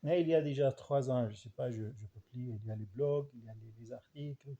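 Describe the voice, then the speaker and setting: Gravelly, mellow man with Arabic accent. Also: medium pitch, muffled recording. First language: Arabic dialect. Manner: halting, searching for words, much repetition. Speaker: language researcher and writer. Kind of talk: informal spoken reflection.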